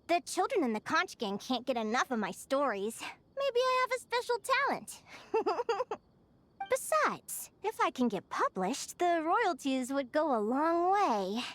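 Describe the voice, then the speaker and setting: Cheerful young naturalist for a game: high-pitched, very lively intonation, earnest and chatty. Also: female voice, measured pace, exaggerated voice. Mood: excited, proud. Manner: expressive, earnest, chatty, with small hesitations. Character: cheerful young female naturalist adventurer, eager storyteller. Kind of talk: animated video game character dialogue. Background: background music.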